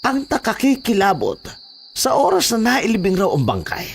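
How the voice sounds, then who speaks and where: A man's voice: high voice, wildly swooping pitch, moderate pace, gravelly, slight background noise, voice pushed up, exaggerated voice. A man voicing an older village man, urgent and reproachful, in a horror audio drama. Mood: ominous. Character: scolding older village man, armed ally. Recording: background music under the voice.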